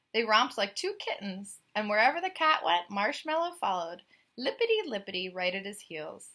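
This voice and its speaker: A woman with a bright, clear-cut voice at a medium pitch reads a picture book to young children. She tells it expressively, as a storyteller does, and speaks to her listeners in chatty, animated asides.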